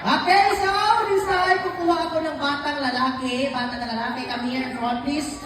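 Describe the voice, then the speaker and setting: High-voiced Filipino woman hosting in echoey hall. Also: steady tone, normal speed, crowd noise. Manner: playful, exclamatory. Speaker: puppeteer and show host. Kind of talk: live children's party puppet show patter. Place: large echoey room with an audience. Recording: echoey noisy hall, far from mic.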